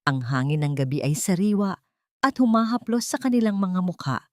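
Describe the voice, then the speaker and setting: A woman's voice: on the low side, animated, normal speed, darkish tone, no echo, clean studio sound. A woman with a Filipino accent reading scripted, dramatic narration for an audio drama.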